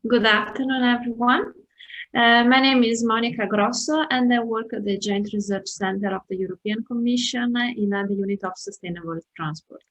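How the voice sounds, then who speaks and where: A middle-aged woman, a transport economist, introducing herself on an online panel over a video call, pausing often with fillers and restarts. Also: fairly high pitch, fairly even intonation, fairly bright tone, low-quality recording. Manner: hesitant, many fillers and restarts.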